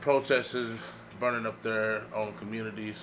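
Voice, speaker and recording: A man in a casual group discussion, speaking haltingly in slang and often saying you know. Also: fairly low pitch, steady tone, fairly bright tone, slight background noise, rough recording. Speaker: podcast panelist. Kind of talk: casual opinionated group chat.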